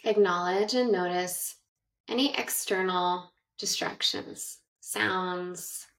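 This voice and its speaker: Woman doing guided meditation, really slow, lowish voice, roomy echo and a bit far from mic. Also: fairly bright tone.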